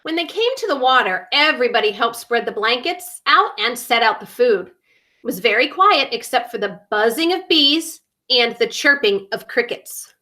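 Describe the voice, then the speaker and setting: A mature woman reading a children's story aloud, deliberately stressing key words and adding small asides for young listeners. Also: fairly high pitch, expressive, bright, forceful tone.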